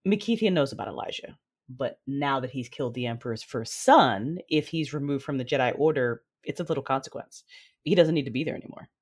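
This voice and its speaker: A woman playing a conflicted, commanding Sith noblewoman in an audio drama, measured and controlled, with dramatic delivery. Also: rumbling low, expressive, husky, full character voice. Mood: firm, commanding. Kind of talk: first-person audio drama narration and dialogue. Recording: music behind the voice.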